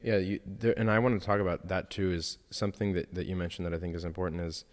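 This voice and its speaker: Low-voiced young man, earnest podcast talk. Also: steady tone, fast, in a large hall. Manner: earnest, reflective, acts out quoted people. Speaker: podcast co-host. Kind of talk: casual podcast chat.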